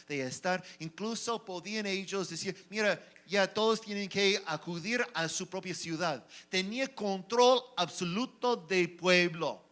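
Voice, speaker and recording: A man's voice: high-pitched, sharp tone. A man preaching a Sunday sermon in Spanish with a non-native accent, asking rhetorical questions and often restarting words. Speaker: pastor.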